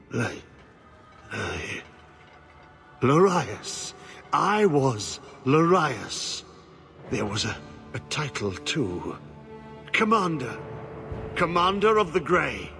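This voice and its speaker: An actor playing an ancient, corrupted, half-mad former warden commander in a video game, speaking in halting fragments. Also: male voice, medium pitch, big pitch swings, gravelly, fairly bright tone, faint music behind, exaggerated voice. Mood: confused, searching. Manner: halting, fragmented, trailing speech. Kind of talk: video game cutscene acting. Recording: echoing cavernous reverb, background noise.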